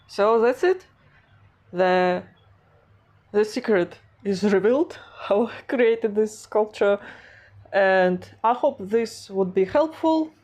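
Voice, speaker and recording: A woman's voice: medium pitch, expressive, takes it slowly, darkish tone, soft background music. A woman vlogger, stuffy from a cold, talking casually about her art with many hesitations. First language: Russian. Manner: hesitant, many fillers and restarts. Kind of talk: casual vlog narration.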